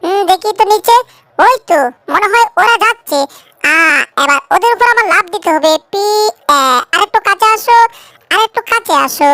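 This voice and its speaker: A tiny, plucky cartoon animal voice, squeakily high and bright. It is cheeky and bold. The recording is dry and close. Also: female voice, expressive, moderate pace, dry recording, exaggerated voice. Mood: bold, gleeful. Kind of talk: cartoon fan dub.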